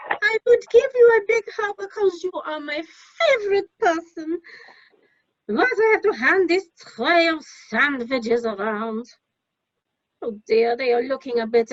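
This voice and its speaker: Woman acting a high-voiced, halting foreign serving woman. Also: dry recording. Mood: grumbling. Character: friendly, indignant foreign woman serving food, speaking stilted English. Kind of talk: amateur radio play acting. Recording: muffled, dry video call audio.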